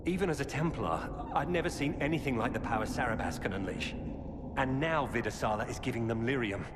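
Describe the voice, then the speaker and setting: An actor playing a weary, earnest former knight turned defector, giving grave, urgent warnings in a video game. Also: male voice, medium pitch, animated, a little fast, lightly acted. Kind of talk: dramatic video game dialogue. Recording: cavernous reverb with loud background noise.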